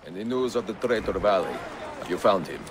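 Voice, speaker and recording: A man's voice: sits low, expressive, fairly quick, background noise. An actor playing a resolute middle-aged male master assassin in a game cutscene, firm and grave in manner. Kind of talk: dramatic video game cutscene acting.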